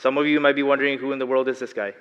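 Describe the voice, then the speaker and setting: A man's voice: medium pitch, level tone, very fast, a bit bright, clear, resonant tone, highs cut off. A young adult man from the Philippines with a Filipino accent and a slight lisp, preaching an earnest sermon and asking his congregation questions. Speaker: lay preacher. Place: large church hall. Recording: long hall reverb.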